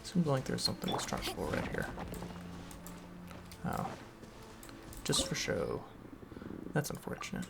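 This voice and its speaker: A man's voice: medium pitch, wildly swooping pitch, unhurried, vocal fry, pauses often. A man giving casual commentary as he streams a video game. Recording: noisy, game sounds behind the voice.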